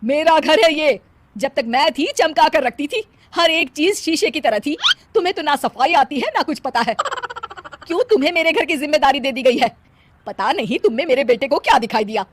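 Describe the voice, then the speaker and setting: A woman voicing a hot-tempered dead mother-in-law who scolds in a loud, bossy, nagging way. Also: sits high, animated, normal speed, slight background noise, pitched above the performer's own voice. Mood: scolding.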